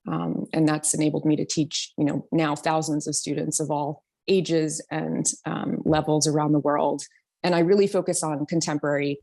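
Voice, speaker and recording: Woman, 30s, from New York, low speaking voice; chatty guest, um-heavy, laughs lightly. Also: vocal fry. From New York City. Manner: chatty, frequent ums and soft laughs. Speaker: guest, singer and voice teacher. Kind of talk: casual interview talk.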